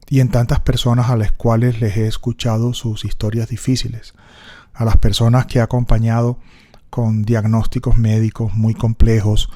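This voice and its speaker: A middle-aged Colombian man with a Colombian Spanish accent, telling a personal story in a thoughtful, unhurried reflective monologue. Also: on the low side, mellow. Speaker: speaker recording a personal reflection.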